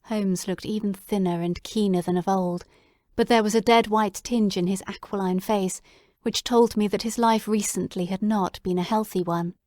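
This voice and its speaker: A woman giving steady first-person narration for a dramatic audiobook reading. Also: medium pitch, normal speed, no room sound, studio quality.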